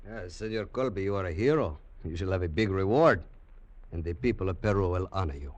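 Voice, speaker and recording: An actor playing a dutiful, determined Peruvian police commandant in a 1940s radio drama. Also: male voice, deep voice, animated, brisk, no room sound, lo-fi sound. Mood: gracious. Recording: old dry 1940s radio broadcast, music.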